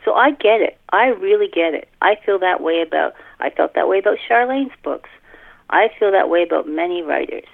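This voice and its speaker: An older woman, a guest author chatting casually in a podcast interview, animated and self-deprecating, repeating words for emphasis. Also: fairly low pitch, brisk, creaky. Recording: thin band-limited phone line.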